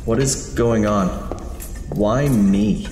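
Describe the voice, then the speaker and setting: An actor playing a frightened big-city architect, nervous and pleading in an audio horror drama. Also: male voice, on the low side, drawn-out words. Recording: echoey room with faint hiss.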